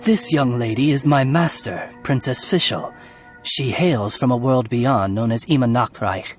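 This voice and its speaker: A man's voice: medium pitch, unhurried, faint music behind, natural voice. Man voicing a formal, courteous raven servant. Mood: courteous, explanatory. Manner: formal, polite, butler-like. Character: courteous male talking raven, humble familiar to a princess. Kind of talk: video game voice acting.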